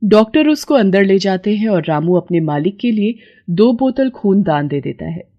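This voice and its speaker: A woman's voice: medium pitch, lively intonation, moderate pace, muffled recording. A woman narrating a scripted moral tale as storyteller.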